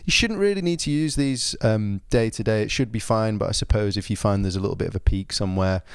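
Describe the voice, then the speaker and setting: A man giving an informal spoken product review, explaining things in a measured, understated way. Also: fairly low pitch, smooth, dry recording, studio quality.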